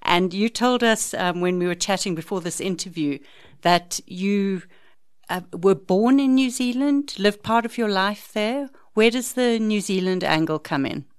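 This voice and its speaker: A woman's voice: mid-range voice, measured pace, clean tone, no echo, clean studio sound. A woman, interviewing a guest conversationally as a podcast host.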